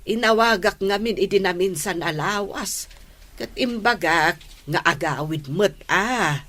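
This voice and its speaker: A woman's voice: mid-range voice, measured pace, sharp tone. A woman playing an affectionate, ailing elderly mother with a Filipino accent in a radio drama. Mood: fond, cheerful. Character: elderly ailing mother, affectionate, coaxing her son to visit.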